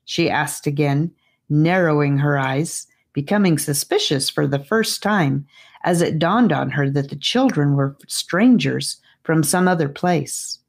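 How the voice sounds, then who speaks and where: A woman narrating a children's fantasy storybook with expressive, deliberate phrasing. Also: sits low, gravelly. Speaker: audiobook narrator.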